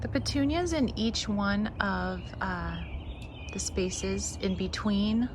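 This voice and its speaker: Creaky-voiced woman giving a garden tour. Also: medium pitch, animated, slow pace, background noise. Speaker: gardening vlog host. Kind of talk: casual garden tour chat.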